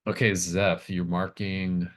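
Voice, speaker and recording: Very deep-voiced man, unhurried game master. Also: flat delivery, a bit bright. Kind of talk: improvised narration of a tabletop horror game.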